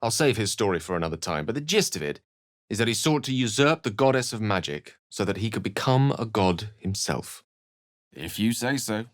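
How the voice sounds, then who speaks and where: A man's voice: lowish voice, sing-song, normal speed, no echo, studio quality. A British man voicing an eloquent, courteous wizard in a video game, with articulate, bookish and theatrical phrasing. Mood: wry, thoughtful. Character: eloquent, urbane male wizard, wry and courteous. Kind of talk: video game character voice acting.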